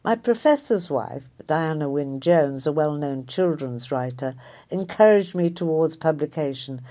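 A woman, a writer reading a reflective personal essay in a measured, thoughtful way. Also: low voice, lively intonation, dark tone. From Liverpool area, England.

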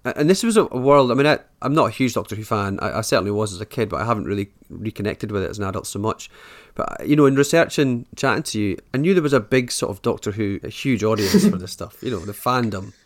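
A man's voice: fairly low pitch, expressive, fast, few pauses. A man speaking as the interviewer on a books podcast.

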